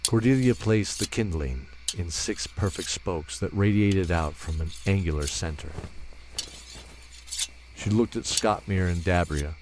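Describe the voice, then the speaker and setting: Deep-voiced man narrating fantasy. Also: a little hiss or hum, rough recording. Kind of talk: scripted fantasy storytelling narration.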